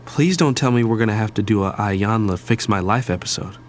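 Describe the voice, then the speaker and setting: A man playing a wry, expressive adult son who narrates an audio drama in the first person. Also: lowish voice, brisk, slight background noise, close to an everyday voice. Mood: wry, worried. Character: wry adult son, doctor, eavesdropping on his parents.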